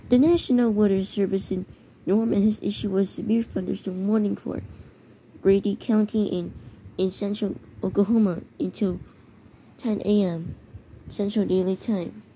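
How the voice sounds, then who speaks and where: A woman reading mock emergency bulletins aloud in a halting way, stumbling over words. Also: mid-range voice, steady tone, dark tone, a little hiss or hum, low-quality recording. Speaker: narrator of a homemade emergency alert scenario.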